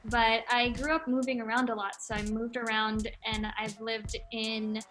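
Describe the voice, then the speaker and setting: Bright-voiced woman artist, thoughtful, many ums. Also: fairly high pitch, flat delivery, normal speed, faint music behind. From Malaysia. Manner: thoughtful, many filler ums, breaks into laughter. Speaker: interviewed artist. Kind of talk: casual interview answers.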